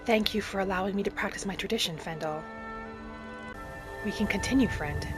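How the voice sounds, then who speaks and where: A woman playing an earnest, devout wood elf wanderer, narrating a fantasy game roleplay in measured, formal phrasing. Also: medium pitch, lightly acted. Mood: hungry, reverent. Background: music.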